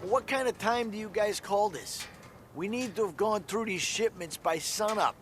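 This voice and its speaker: A man plays a fussy warehouse clerk in a crime game. His high voice is condescending and full of complaint. Music is clearly heard underneath. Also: normal speed, close to an everyday voice. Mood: irritated. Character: snide, fussy warehouse clerk scolding latecomers. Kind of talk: cinematic video game acting.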